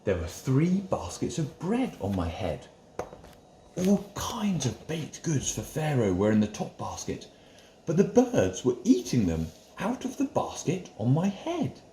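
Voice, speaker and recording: A man's voice: on the high side, huge pitch swings, unhurried, mellow, reverberant, slight background noise, voice pushed up. A man voicing a hopeful, eager royal baker in a Bible story, speaking emphatically.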